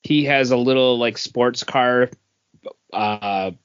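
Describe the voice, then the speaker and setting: A man co-hosting a podcast, telling anecdotes in an informal, halting, conversational way. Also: mid-range voice, smooth. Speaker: podcast co-host. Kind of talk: casual chat and anecdotes. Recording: dry but dull lo-fi audio.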